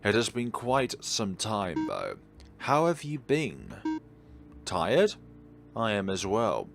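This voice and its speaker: A man reading out visual novel dialogue as a weary, ancient godlike being, wistful and gently teasing. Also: on the low side, wildly swooping pitch, takes it slowly, vocal fry, heavily acted. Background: faint background game music.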